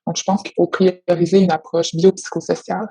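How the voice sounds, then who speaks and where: Female clinician. Mellow, slightly raspy, formal and measured, dry recording. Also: lowish voice, little pitch movement, dry recording. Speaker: occupational therapist. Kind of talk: professional phone consultation, prepared report.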